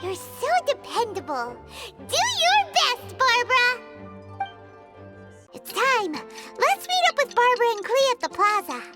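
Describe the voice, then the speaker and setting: Childlike fairy companion, excitable, game voice acting, drawn-out pace, loud music underneath. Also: female voice, very high-pitched, in a large hall, full character voice. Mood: cheerful. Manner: speaks of self in third person, exclaiming.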